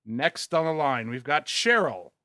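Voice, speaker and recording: A sardonic talk radio host, acted by a man, with a fairly high, forceful voice. He is punchy and dismissive, recorded dry and close. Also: expressive, measured pace, no room sound. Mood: sardonic, mocking. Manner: sarcastic, punchy.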